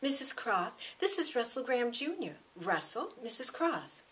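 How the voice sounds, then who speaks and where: A woman's voice: fairly high pitch, big pitch swings, normal speed, fairly bright tone, voice pushed up. A woman voicing a vivacious, polite young woman in an audiobook novel. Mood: polite, lively. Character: glamorous, vivacious, polite young woman receiving her suitor.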